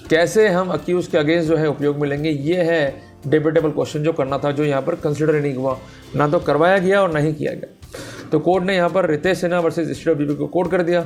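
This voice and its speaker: An Indian man giving an explanatory law lecture, emphatic and direct, posing rhetorical questions and switching between two languages. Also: a little high. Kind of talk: explanatory legal lecture mixing Hindi and English.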